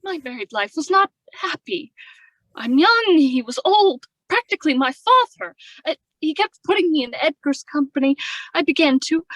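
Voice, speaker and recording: A woman's voice: sits high, animated, normal speed, fairly bright tone, no echo, low-quality recording. A woman playing an unhappy, emotional young widow in a radio drama. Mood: distressed, confiding. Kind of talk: old-time radio drama acting.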